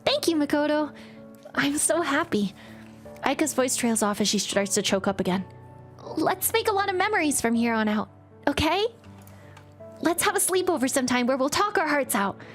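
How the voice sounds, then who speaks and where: A woman voicing a bubbly, caring young woman friend who talks in a bright, insistent way. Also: high voice, very animated, a little fast, soft background music, voice pushed up. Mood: cheerful.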